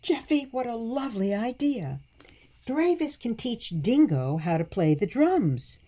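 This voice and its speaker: Woman acting a slow, mellow elderly grandmother. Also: fairly high pitch, very animated. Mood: sweet, musing. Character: elderly grandmother, weary yet sweet and wry. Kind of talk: radio play acting.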